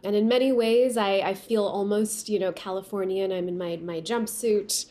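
A woman's voice: medium pitch, steady tone, normal speed, mellow. A woman from Pakistan whose first language is Urdu, a company founder speaking as a guest in a remote interview and telling her story with frequent restarts. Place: remote call from home.

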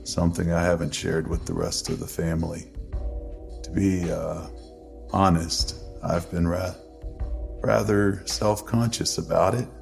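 Male actor as drunken grieving uncle, horror drama, gravel and fry, dragging slow pace, halting mutter, secretive ex-exorcist. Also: level tone, soft background music, exaggerated voice. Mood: dry, confiding. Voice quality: gravelly, creaky low voice. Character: drunk, grief-stricken older uncle, a secretive former exorcist. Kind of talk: dramatic horror story acting.

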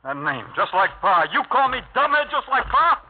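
A slow-witted, put-upon man who is loyal yet volatile. His halting speech swings up and down sharply. It is old radio drama, narrow and lo-fi. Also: very high voice, big pitch swings, slight background noise. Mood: wounded fury. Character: slow, obedient brother, wounded and explosively angry when insulted. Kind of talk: 1950s radio drama acting.

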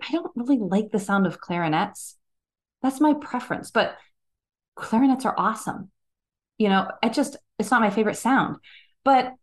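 A woman guest on a podcast, explaining her views in a thoughtful, casual way. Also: medium pitch. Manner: thoughtful, explanatory, frequent "like" fillers. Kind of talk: casual conversational interview.

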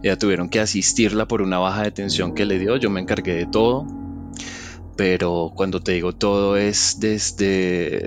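A man with a somewhat low voice tells a personal story. He speaks softly, in a reflective and halting way. Faint music plays under his voice. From Venezuela.

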